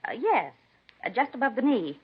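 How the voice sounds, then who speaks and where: A woman's voice: on the high side, big pitch swings, moderate pace, breathy edge, heavily acted. An actress playing a nosy, prim, chatty neighbor woman who rambles fussily in a 1950s radio drama. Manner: rambling, fussy, gossipy. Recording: muffled 1950s radio recording.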